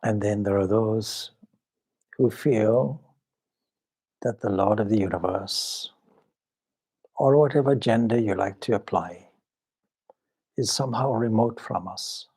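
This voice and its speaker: A man leading a guided meditation as a swami, speaking solemnly and measuredly in short phrases with long pauses. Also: on the low side, darkish tone, breathy edge. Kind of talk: spiritual talk and guided meditation.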